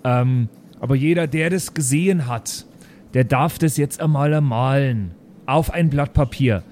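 Man playing a halting, pedantic maths teacher. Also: mid-range voice, darkish tone, slight background noise, lightly acted. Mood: instructing. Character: fussy, sceptical male maths teacher.

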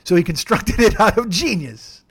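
A man in his forties hosting a podcast alone, talking in a rambling, jokey, self-deprecating way with a gravelly voice. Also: high-pitched, animated, moderate pace, fairly bright tone. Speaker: podcast host. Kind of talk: casual solo monologue.

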